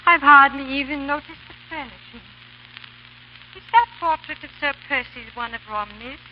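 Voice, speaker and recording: An actress playing a proud, impassioned young wife of an English lord in 1930s radio drama, pleading and declaiming. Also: female voice, sits high, normal speed, close to an everyday voice. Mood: curious, urgent. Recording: dull, hissy, crackling 1930s radio recording.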